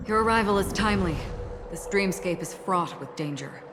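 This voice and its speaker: An actress playing a calm, formal, protective swordswoman in a video game, her voice trailing a long reverb. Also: lowish voice, normal speed, background noise, natural voice. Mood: calm, guarded. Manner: formal, level-headed. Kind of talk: scripted video game voice acting.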